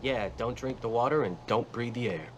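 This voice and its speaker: An actor playing a friendly, wry army sergeant in a scripted game cutscene, with a dry, matter-of-fact manner. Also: male voice, medium pitch, moderate pace, noisy background, close to an everyday voice.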